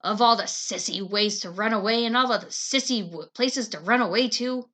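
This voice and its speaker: A woman reading a storybook's little brother, a stubborn, argumentative young boy who is excitable and contrary. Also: on the high side, normal speed, lightly acted. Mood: grumbling, cheeky. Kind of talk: children's storybook dialogue.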